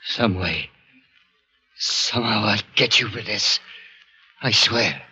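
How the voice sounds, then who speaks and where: An actor playing a rough, unsuspecting American working man in a 1940s radio drama, slangy and plain-spoken. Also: fairly low pitch, slow, pushed and bright, exaggerated voice. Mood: alarmed. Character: rough, unsuspecting working-class male hospital acquaintance. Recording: dull, lo-fi vintage radio recording.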